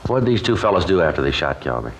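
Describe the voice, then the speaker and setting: A male actor playing a stoic, methodical American police sergeant with a clipped, deadpan delivery in a 1950s radio drama. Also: very deep voice, racing, full character voice. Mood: patient questioning. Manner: clipped, deadpan, matter-of-fact. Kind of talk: 1950s radio crime drama narration and dialogue. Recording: noisy old radio recording.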